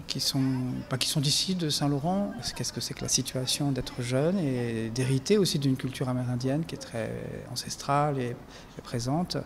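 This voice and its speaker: A man's voice: medium pitch, normal speed, big-room sound. A man speaking as a documentary filmmaker giving reflective interview answers, hesitant, with many fillers and restarts.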